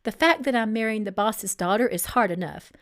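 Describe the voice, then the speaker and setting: A woman's voice: mid-range voice, lively intonation, brisk, close to an everyday voice. A woman reading a story aloud as a stern engaged man who is resentful, suspicious and firm. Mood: angry. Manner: firm, edgy, incredulous.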